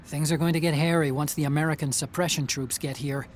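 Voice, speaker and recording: An actor playing an earnest, brainy tech adviser, methodically giving a mission briefing in a video game. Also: male voice, a little high, steady tone, fast, slight background noise, lightly acted. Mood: serious, instructive. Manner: methodical, explanatory.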